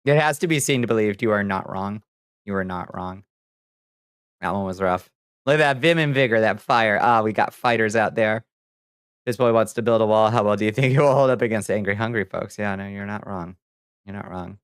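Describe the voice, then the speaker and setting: A man hosting a livestream, chatting casually with viewers and commenting on news in a halting, profane, muttering way. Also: lowish voice, smooth, dry recording, clean studio sound. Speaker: livestream host reading viewer chat.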